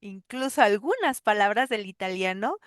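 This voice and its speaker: A woman's voice: mid-range voice, big pitch swings, moderate pace, clean tone, no echo, studio quality. A woman hosting an interview, responding with curiosity to her guest. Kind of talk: interview conversation.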